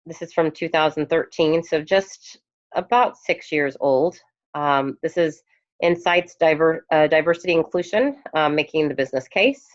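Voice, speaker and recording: A lowish-voiced woman lecturing an online class, her voice worn and hoarse as she talks into a headphone mic. She pauses with frequent ums between points. The recording sounds dull and lo-fi. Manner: hesitant, many ums. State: losing her voice. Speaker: instructor.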